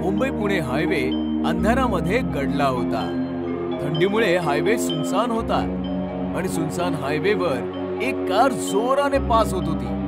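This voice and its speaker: A man's voice: high voice, very animated, measured pace, over loud music. A man narrating a scripted horror story in a dramatic storytelling style.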